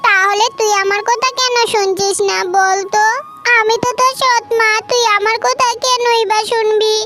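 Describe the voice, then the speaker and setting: A woman's voice: very high-pitched, fairly even intonation, normal speed. A woman voicing a touchy female tailorbird stepmother in a children's cartoon, with an emotional, nagging way of talking. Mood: hurt, nagging. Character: female tailorbird stepmother, doting but touchy, turning scolding mother-in-law. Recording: children's music under the voice.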